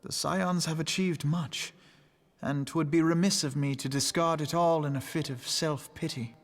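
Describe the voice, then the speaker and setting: A man playing an earnest, noble young fantasy hero in a game, with formal, archaic, measured speech. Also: on the high side, fairly even intonation, faint music behind, lightly acted. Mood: solemn, resolute. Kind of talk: scripted fantasy game dialogue.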